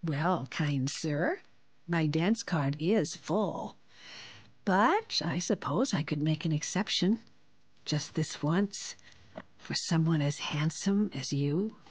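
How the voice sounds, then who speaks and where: An actress playing a gentle, affectionate woman in love in a radio drama, tender and softly playful. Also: low voice, lively intonation, slow pace, breathy edge, no room sound, full character voice. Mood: coy, tender.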